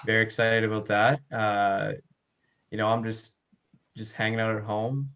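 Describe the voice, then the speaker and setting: A man's voice: fairly low pitch, little pitch movement, moderate pace, a bit bright, muffled recording. A young man chatting casually as a podcast co-host, recorded at home. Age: young adult. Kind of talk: casual chat.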